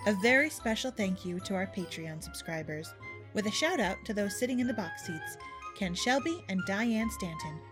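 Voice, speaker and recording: A woman reading scripted narration as announcer in a measured, formal way. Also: mid-range voice, lively intonation, music in the background.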